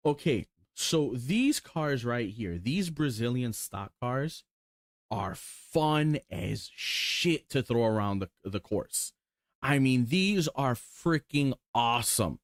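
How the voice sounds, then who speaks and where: Excited rambling man in his forties. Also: medium pitch, slow, no room sound, clean studio sound. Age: 40s. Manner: rambling, excited, swears casually, lots of ums. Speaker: sim racing streamer. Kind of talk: casual chat while showing cars.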